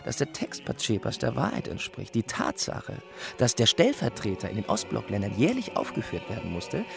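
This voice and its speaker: A man's voice: mid-range voice, wildly swooping pitch, normal speed, creaky, over music. A man playing an informed researcher, explaining documentary material conversationally in a scripted radio play. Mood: explanatory.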